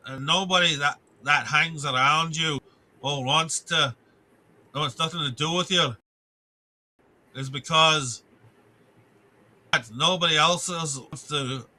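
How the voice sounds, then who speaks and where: A man's voice: a little high, sharp tone, low-quality recording. A man ranting on a live stream, rambling and restarting his sentences, swearing and breaking off to greet viewers in the chat.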